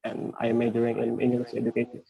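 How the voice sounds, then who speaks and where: A man's voice: medium pitch, completely flat delivery, quick pace, creaky. A nineteen-year-old male student making informal introductions in an online class over a dull video call. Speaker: university student.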